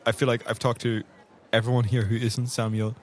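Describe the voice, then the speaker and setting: A man with a middle-pitched voice improvises in a tabletop roleplay podcast. He sounds dryly comic and exasperated as he chats in character. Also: level tone, brisk. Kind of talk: improvised casual roleplay chat.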